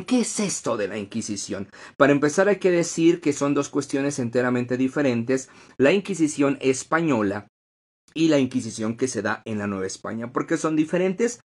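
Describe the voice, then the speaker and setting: A man hosting a live-streamed history talk, lecturing conversationally with rhetorical questions and frequent fillers. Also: on the high side.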